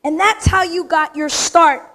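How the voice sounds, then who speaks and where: Emphatic woman at an awards podium, high and a touch raspy, direct and punchy. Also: a little slow, fairly bright tone. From United States. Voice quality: slightly raspy. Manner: straight-talking, emphatic, punchy short phrases. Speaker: award recipient giving an acceptance speech. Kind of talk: acceptance speech to a live audience. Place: large event room with audience.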